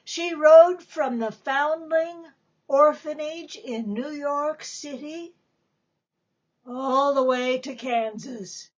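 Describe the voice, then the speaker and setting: A woman giving a dramatic reading of a story, deliberate and theatrical, with emphatic pauses. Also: high voice, highs cut off. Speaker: author reading from own book.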